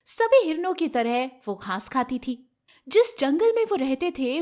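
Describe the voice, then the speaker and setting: A woman narrating scripted moral tales for children as a storyteller. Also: high voice.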